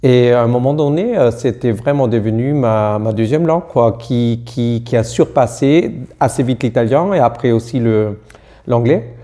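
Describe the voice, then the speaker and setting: Hesitant German-accented man, mellow voice. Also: medium pitch, normal speed. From Austria. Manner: hesitant, many fillers and restarts, searching for words. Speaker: doctor, interview guest. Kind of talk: casual interview chat in a learned language. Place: small indoor studio room.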